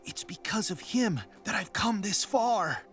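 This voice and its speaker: A man's voice: high-pitched, animated, unhurried, fairly bright tone, soft background music. A man playing a hearty, earnest male Goron warrior in a dramatic video game cutscene, with emotional, emphatic delivery. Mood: earnest, humble.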